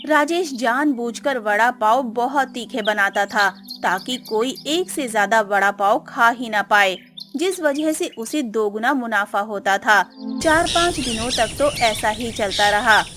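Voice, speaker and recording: A woman's voice: on the high side, measured pace, a bit bright. A woman narrator reading a scripted cartoon moral story. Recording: music behind.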